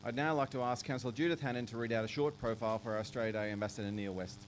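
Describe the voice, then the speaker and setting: A man's voice: medium pitch, fairly even intonation, rapid-fire, a little hiss or hum. A man acting as master of ceremonies, handing over to the next speaker. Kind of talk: ceremony hosting.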